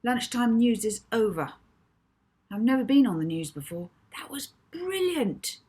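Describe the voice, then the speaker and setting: A woman voicing an excitable, cheeky young boy in a children's storybook, exclaiming and teasing. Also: a little high, measured pace, slightly rough, voice pushed up. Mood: excited, demanding. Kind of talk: children's storybook character dialogue.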